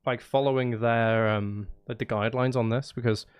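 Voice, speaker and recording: A man's voice: medium pitch, steady tone, moderate pace, clean tone, no room sound, clean studio sound. A man chatting casually on a music-making livestream, thinking aloud in a hesitant, self-interrupting and apologetic way. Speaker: streamer making music live. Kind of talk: casual livestream chat while recording drums. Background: drum kit and music.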